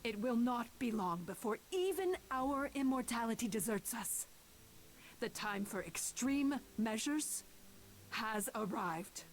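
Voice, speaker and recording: An actress playing a proud, grave goddess leader in a video game, speaking in a formal, declamatory way. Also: female voice, fairly high pitch, animated, takes it slowly. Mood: grave oratory. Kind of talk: dramatic video game voice acting.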